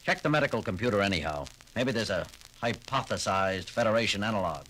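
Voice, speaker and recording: A man's voice: on the low side, expressive, fast, a bit bright, a little hiss or hum, low-quality recording. An actor playing a commanding male starship captain who gives clipped orders.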